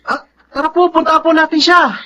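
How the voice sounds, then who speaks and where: An actor with a Filipino accent playing a dutiful, polite young son in a radio drama, speaking earnestly. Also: male voice, very high voice, fairly even intonation, moderate pace, background noise. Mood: concerned.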